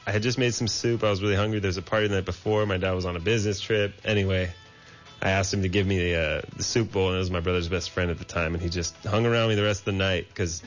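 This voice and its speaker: A male radio guest from California who talks quickly and rambles, restarting his sentences. His voice sits a bit low. The recording is dull, with faint music in the background. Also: steady tone. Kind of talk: casual interview.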